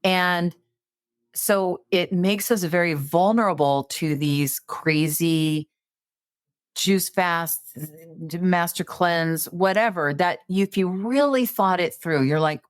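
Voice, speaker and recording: A woman's voice: lowish voice, slow, clear, resonant tone, dry recording, studio quality. A woman in her late fifties, a podcast guest giving coaching advice in a conversational way, full of fillers and restarts. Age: 50s. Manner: animated, many fillers and restarts.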